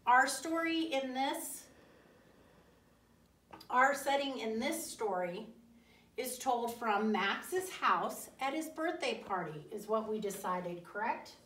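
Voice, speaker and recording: Female elementary teacher, higher-pitched, unhurried and didactic, asking pupils questions in an echoey room. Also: lively intonation, fairly bright tone. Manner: didactic, deliberate, pausing and repeating. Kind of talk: classroom reading lesson with questions to pupils. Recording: roomy echo, distant mic.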